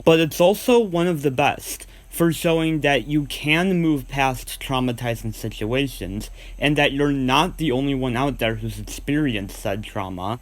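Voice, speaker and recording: A man hosting a video countdown, giving scripted review commentary in an informal, earnest way and stressing key words. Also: medium pitch. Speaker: host of a video list of cartoon episodes.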